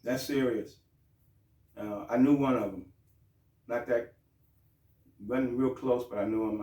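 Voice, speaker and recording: A man's voice: lowish voice, darkish tone, roomy sound, far from the mic. A man hosting his own show in a loose, joking, profane way, with frequent restarts. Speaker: host. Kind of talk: casual show talk.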